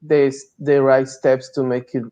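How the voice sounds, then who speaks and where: A man's voice: medium pitch, little pitch movement, a little slow, warm tone. A man in his early thirties with a Colombian accent, answering casually as an interview guest. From Colombia, Bogota. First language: Spanish. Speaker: interview guest, DevOps engineer. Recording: dull lo-fi online call sound.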